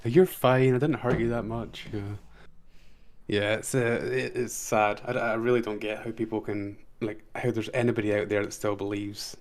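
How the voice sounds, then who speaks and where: A man with a Scottish accent softened by time in Germany, chatting casually and often agreeing and restarting his sentences. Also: lowish voice, flat delivery, moderate pace. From Scotland. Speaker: stream host. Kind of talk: casual chat.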